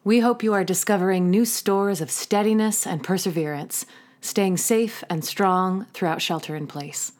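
A woman's voice: mid-range voice, normal speed, a little mellow. A woman narrating scripted closing credits for an audio drama as its presenter.

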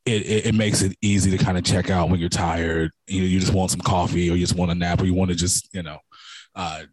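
A man with a hoarse, raspy voice from a cold, giving a rambling testimony to a church congregation with many restarts. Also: low voice, flat delivery, brisk, a little mellow, dry recording.

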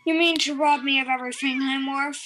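A woman's voice: sits high, steady tone, a little fast, noisy background, lightly acted. An actress playing a haughty, imperious film director who dotes on her cats, in an audio drama. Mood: indignant, outraged. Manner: imperious, theatrical. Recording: music behind the voice.

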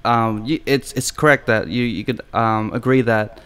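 A man hosts a casual podcast in a smooth, resonant mid-pitched voice. He rambles from thought to thought and keeps the chat light with jokes. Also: steady tone, moderate pace, fairly bright tone. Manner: joking, rambling, laughs often. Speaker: podcast host.

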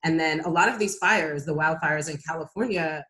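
A woman with a notably low voice speaks on a panel discussion. She is reflective and measured, and fills her pauses often with "um". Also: a bit bright.

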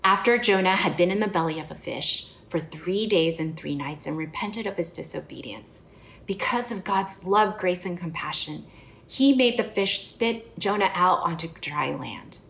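A woman's voice: fairly low pitch, moderate pace, vocal fry, a bit bright. Earnest woman preaching in an echoey room. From United States. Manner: earnest, personal and reflective. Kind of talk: sermon.

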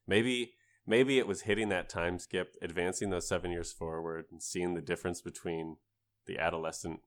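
A man with a deep, low voice chats as a podcast co-host. He spins casual stories about gaming and slips into playful, grandiose asides, swearing freely.

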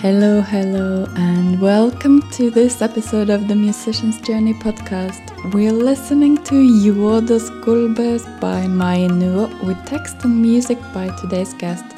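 A woman speaks as the host of an interview podcast. Her voice sits at a medium pitch, and music plays clearly behind her.

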